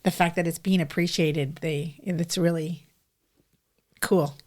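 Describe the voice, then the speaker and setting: A woman's voice: fairly low pitch, steady tone, takes it slowly, darkish tone. A middle-aged woman chatting casually as a podcast co-host. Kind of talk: casual conversational chat.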